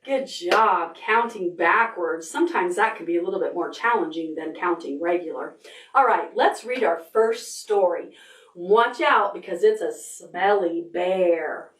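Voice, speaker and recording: A woman leading a preschool storytime as a children's librarian, talking slowly and warmly encouraging little kids as she reads and counts with them. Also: lowish voice, recorded from a distance. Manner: cheerful, slow and encouraging, talking to small children. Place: library room. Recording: roomy sound from away from the camera mic.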